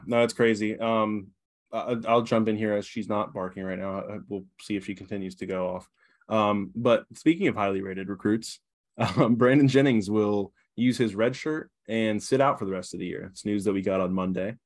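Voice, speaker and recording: A young man co-hosting a sports podcast, talking in a relaxed, soothing, smooth way. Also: on the low side, moderate pace. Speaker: podcast co-host. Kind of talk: casual sports chat.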